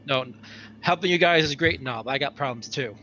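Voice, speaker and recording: A man playing a worried, grudging space freighter worker in a tabletop game, speaking casually and haltingly. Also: a little high, bright, forceful tone, highs cut off. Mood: annoyed. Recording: background noise.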